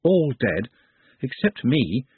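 Man playing a gentle, apologetic orc, husky. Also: medium pitch, sing-song, takes it slowly, no echo, narrow, tinny audio, full character voice. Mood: sad, apologetic. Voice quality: formal, careful speech.

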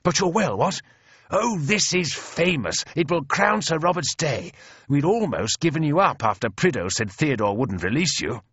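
Giant British officer, voiced by a male narrator: booming, overjoyed, exclamatory storybook character, very animated, close dry recording. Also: moderate pace, breathy edge, no echo, highs cut off, exaggerated voice. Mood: jubilant. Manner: effusive, exclamatory. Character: huge bearded, hearty British officer, jubilant and booming.

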